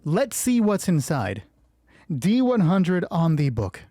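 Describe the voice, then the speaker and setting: A man narrating a solo tabletop roleplay as host, moving between measured storytelling and casual asides. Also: high voice, sing-song, dark tone, dry recording.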